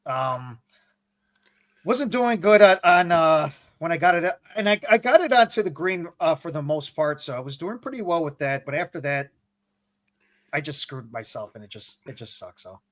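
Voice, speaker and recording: Male co-host, slightly high voice, halting and restarting, casual chat. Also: lively intonation, dry recording, studio quality. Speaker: podcast co-host. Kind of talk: casual sports podcast chat.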